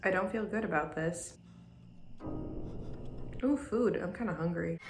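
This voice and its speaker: A woman's voice: lowish voice, a little slow, reverberant, over music. A woman hosting a reaction video, talking casually with candid, blunt asides.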